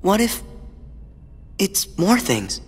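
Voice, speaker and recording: Husky, earnest teenage boy hero. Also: sits high, very animated, drawn-out words, full character voice. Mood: worried. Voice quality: husky, youthful. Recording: long hall reverb over background music.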